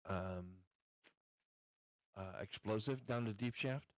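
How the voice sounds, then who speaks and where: A man's voice: on the low side, no room sound. Dry-sounding man, stop-and-start player. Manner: deliberate, stop-and-start questioning. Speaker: tabletop game player. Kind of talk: casual game chat.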